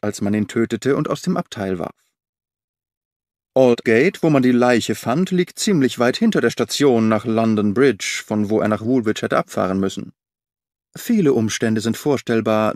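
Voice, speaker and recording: A man reading the part of a sharp-witted detective reasoning aloud in an audiobook. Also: mid-range voice, no room sound, clean studio sound, close to an everyday voice.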